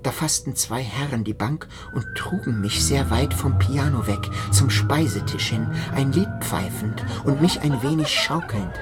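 A man's voice: medium pitch, expressive, a little slow, hardly any pauses. A man narrating a literary radio drama in the first person. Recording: music behind the voice. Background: music.

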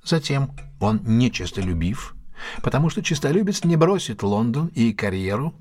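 A man's voice: medium pitch, very animated, normal speed, darkish tone. An actor in an audio drama playing a keen, self-assured male detective who reasons step by step in a didactic, theatrical way. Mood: triumphant, precise. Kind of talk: Russian audio drama acting.

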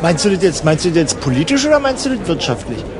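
High, lively, flippant man, acted, crowd behind. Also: fast talker. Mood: mock-naive. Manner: flippant, chuckling, colloquial. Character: flippant, teasing male travel companion, mock-naive. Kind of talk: colloquial radio play banter. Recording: busy crowd and travel sounds behind.

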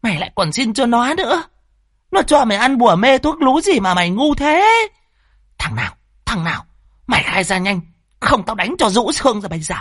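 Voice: medium pitch, big pitch swings, fairly bright tone, husky, no room sound, clean studio sound, pitched above the performer's own voice. A storyteller voicing a middle-aged village mother with a northern Vietnamese accent, scolding in hissed, wailing, badgering outbursts. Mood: furious. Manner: hissing, rapid badgering questions, wailing exclamations. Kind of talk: dramatic audio storytelling.

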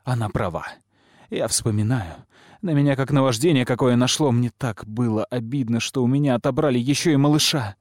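A man's voice: mid-range voice, a little fast, darkish tone, no echo, clean studio sound, close to an everyday voice. Man voicing an anguished, guilt-ridden noblewoman. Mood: anguished confession. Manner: anguished, pleading. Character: proud, grieving widowed noblewoman, guilt-ridden mother.

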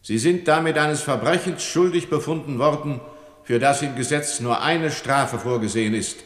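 An actor playing a stern judge in a radio play, pronouncing formally and gravely. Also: male voice, mid-range voice, moderate pace, lightly acted. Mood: grave, solemn. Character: solemn, stern judge pronouncing a death sentence. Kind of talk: German radio play acting. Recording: long echoing hall reverb.

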